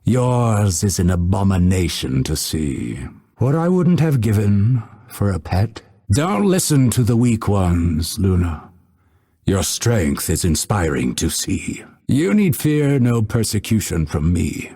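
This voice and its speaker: Game assassin: low, expressive delivery, aloof and scholarly, haughty formal phrasing, husky edge. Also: male voice, full character voice. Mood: cool, dry. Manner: measured, formal, haughty, dryly witty. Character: proud, scholarly male assassin, aloof and coolly menacing. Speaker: voice actor. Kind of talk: video game character voice lines.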